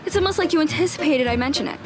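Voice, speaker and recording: A woman playing a nosy, excitable young girl who hunts ghosts, inquisitive and argumentative, in an audio drama. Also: high-pitched, very fast, over music. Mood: indignant.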